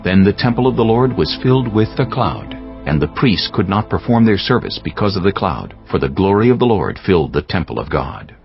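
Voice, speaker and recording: Deep-voiced male scripture narrator over music. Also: sing-song. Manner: measured, formal reading. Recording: slightly dull audio with music bed.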